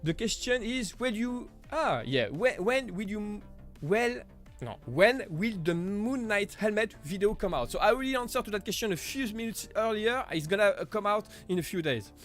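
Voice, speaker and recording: Male streamer, higher register, chatty and halting, a bit under the weather. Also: expressive, a bit bright, soft background music. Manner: chatty, halting, with frequent small laughs and "you know". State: voice deepened while getting over an illness. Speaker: live stream host answering viewer questions. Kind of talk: casual live Q&A chat.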